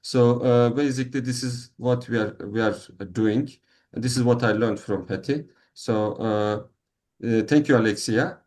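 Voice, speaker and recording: A man's voice: medium pitch, steady tone, unhurried, darkish tone. A man hosting an online meeting, speaking English as a with many fillers and restarts. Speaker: meeting host. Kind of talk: online meeting, casual moderating.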